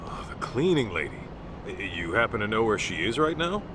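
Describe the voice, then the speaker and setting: A man playing an easygoing, gruff middle-aged private detective in a video game, talking in a casual way. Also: on the low side, very animated, a little fast, noisy background. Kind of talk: video game cutscene acting.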